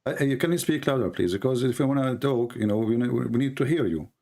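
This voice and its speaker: A man's voice: medium pitch, quick pace, creaky, dark tone. A man whose first language is Arabic, hosting an argumentative live debate in non-native English, insistently repeating his phrases. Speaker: host, religious debater.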